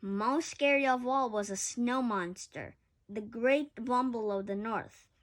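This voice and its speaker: A woman's voice: fairly high pitch, takes it slowly. A woman reading a children's picture book aloud expressively, with occasional stumbles and self-corrections. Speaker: story reader.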